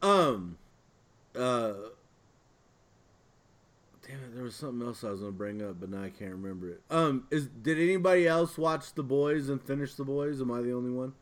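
A man's voice: mid-range voice, normal speed. A man in the middle of the range talks as a podcast host among friends. His delivery is informal and conversational, with an easy habit of swearing. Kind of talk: casual chat with friends.

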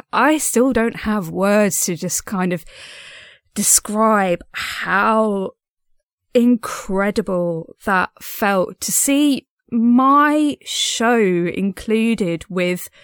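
A woman talks through a personal audio diary with earnest, effusive enthusiasm. Her voice sits at an average pitch for a woman, and the sound is dry, with very little room on it. The delivery is casual, like a podcaster speaking alone to listeners. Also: expressive, extremely slow, clear, resonant tone, no echo. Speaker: podcast creator and host.

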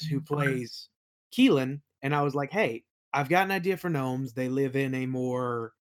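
A man being interviewed as a game master and creator, chatting casually in an informal, enthusiastic manner. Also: medium pitch. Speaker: podcast guest, game master and audio play creator.

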